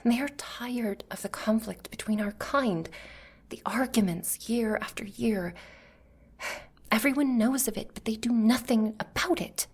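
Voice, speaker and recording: A woman playing a desperate, righteous mage in an ASMR roleplay, earnest and anguished, with a breathy voice. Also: a little high, unhurried. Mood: bitter frustration. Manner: earnest, anguished, sighing, dramatic. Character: desperate, righteous mage pleading to rescue a captured friend.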